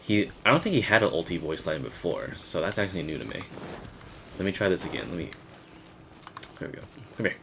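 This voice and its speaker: Male gaming commentator: deep register, casual, hesitant think-aloud delivery, audible background noise. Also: very animated, fairly quick, creaky, long pauses, a bit bright. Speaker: gaming video creator.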